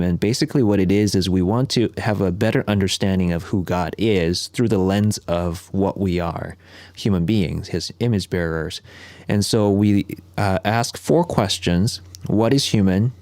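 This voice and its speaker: A man raised in South Korea, his first language Korean, with a very low voice. He talks in an easygoing, conversational way, pausing on fillers and restarting sentences. The sound is dry and close, with no room echo. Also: darkish tone. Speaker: podcast guest, ministry worker. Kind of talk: conversational storytelling.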